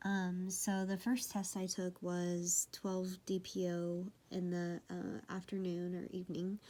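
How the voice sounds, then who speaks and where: A woman with a high, girlish, nasal voice from a stuffed-up nose, talking casually to camera with many fillers and apologies. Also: warm tone. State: congested from sneezing. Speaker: vlogger. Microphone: phone.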